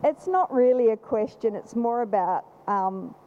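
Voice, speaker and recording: A woman with a loud voice, speaking from the audience at a panel event, rambling with many restarts. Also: fairly high pitch, animated, a little slow, a little hiss or hum. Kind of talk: unscripted comment from the floor. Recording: slightly dull live event recording.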